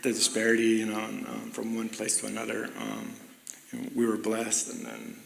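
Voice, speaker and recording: A man's voice: on the low side, completely flat delivery, normal speed, vocal fry, fairly bright tone. A middle-aged man from Venezuela and Ecuador, telling stories in a casual interview, rambling with laughter and many ums. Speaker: interview guest. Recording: echoey hall with long reverb.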